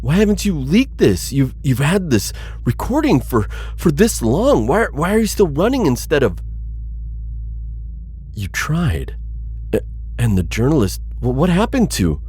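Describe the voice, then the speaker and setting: A man voicing a cautious but principled insectoid alien bounty hunter in an ASMR roleplay, with husky, halting, formally worded speech. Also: on the high side, big pitch swings, darkish tone, a little hiss or hum. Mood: puzzled, alarmed. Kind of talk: ASMR audio roleplay addressed to listener.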